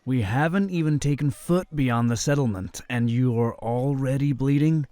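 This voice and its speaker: An actor playing a polite, sensible young crusader in a fantasy audio drama, formal and cautious. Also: male voice, medium pitch, moderate pace, mellow, no room sound, soft background music, natural voice. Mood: wry.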